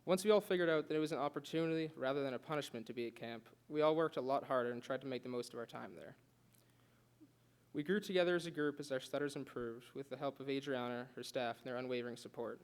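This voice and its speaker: Young male student, quick delivery, earnest speech with a light stutter. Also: medium pitch, clean tone. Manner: earnest, with occasional stutters and restarts. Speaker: student giving a class speech. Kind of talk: prepared speech read aloud.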